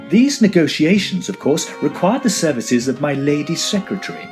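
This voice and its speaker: A man's voice: a little high, big pitch swings, moderate pace, a little mellow, faint music behind. A man playing an eager, inquisitive Edwardian ghost hunter in an audio drama, with theatrical, formal diction. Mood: storytelling. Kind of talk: audio drama narration and dialogue.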